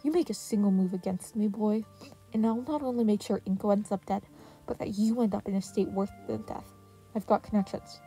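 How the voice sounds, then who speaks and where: A woman's voice: medium pitch, brisk, warm tone, faint music behind, lightly acted. A woman voicing a cold, abusive male henchman in an audio story, threatening and domineering. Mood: menacing. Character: menacing abusive man, cold villainous henchman threatening a boy.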